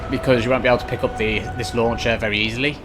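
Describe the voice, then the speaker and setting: A man with a mid-pitched voice commentates on a video game playthrough. He is chatty and informal, addressing viewers directly like a streamer. Music plays clearly behind him. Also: fairly even intonation, rapid-fire. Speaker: gaming YouTuber.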